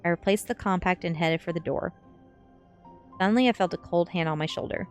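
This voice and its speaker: A woman's voice: on the low side, brisk, faint music behind. A woman reading a first-person story aloud as ASMR narration. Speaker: streamer reading a novel aloud.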